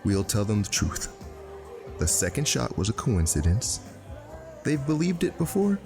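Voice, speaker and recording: A man's voice: mid-range voice, big pitch swings, a little slow. A man playing a cocky, defiant operative in a naturalistic drama, brash and dismissive. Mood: defensive. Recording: music under dialogue.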